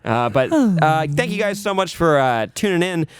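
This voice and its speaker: A man's voice: on the high side, moderate pace, clear, resonant tone, dry recording. A young man hosting a podcast, telling stories with lots of energy and laughing often. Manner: animated storyteller, laughs often. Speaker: podcast host. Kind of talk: casual banter.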